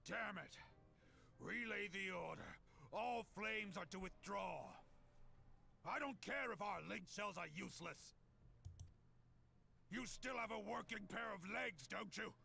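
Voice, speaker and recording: A man playing a furious, burly army general in a video game, gruff and barking orders with curses. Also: sits high, unhurried, pauses often, slight background noise, exaggerated voice. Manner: barking, forceful, cursing commands. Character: furious, burly male army general, brash and foul-mouthed. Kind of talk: dramatic video game acting.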